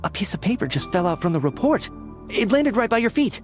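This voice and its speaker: Higher-voiced, analytical young investigator. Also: male voice, sing-song, soft background music. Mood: unnerved, puzzled. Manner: deliberate, articulate, thinking aloud. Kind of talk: anime-style video game cutscene acting.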